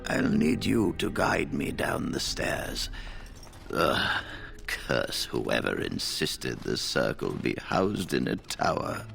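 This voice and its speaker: An actor playing a weary, grumbling but dignified old head mage in a video game, gravelly and breathy at the ends of phrases. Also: male voice, extremely low pitch, big pitch swings, slow pace, fairly bright tone, soft background music, heavily acted. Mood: weary, grateful. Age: older. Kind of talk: dramatic video game acting.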